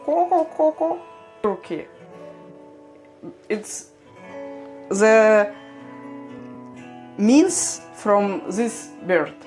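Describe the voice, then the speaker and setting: A young Ukrainian-accented woman chats with her viewers at an unhurried pace, laughing easily as her English stumbles now and then. Her pitch sits in a middle range, and guitar music plays clearly behind her. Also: sing-song, darkish tone. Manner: chatty, laughs often, slightly broken English. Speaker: livestream vlogger. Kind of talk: casual livestream chat reading viewer comments.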